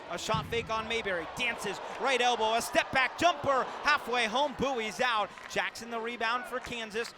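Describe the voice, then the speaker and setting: Guy doing radio hoops play by play, high voice, punchy excitable calls, super noisy gym behind him. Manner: vivid, punchy descriptive calls, excitable on big plays. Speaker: play-by-play sports announcer. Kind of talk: live basketball radio commentary. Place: indoor basketball arena during a game. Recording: loud arena noise, bouncing balls. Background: loud game ambience, ball bounces.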